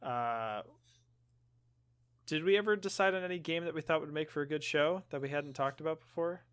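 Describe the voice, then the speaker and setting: A man's voice: on the high side, normal speed, fairly bright tone, smooth. A man hosting a podcast in casual conversation, explaining things in a loose, rambling way and steering the talk along. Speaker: podcast host.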